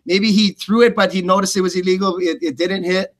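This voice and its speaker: A man's voice: high-pitched, fairly even intonation, fairly quick. A man doing live blow-by-blow fight commentary in short, clipped phrases.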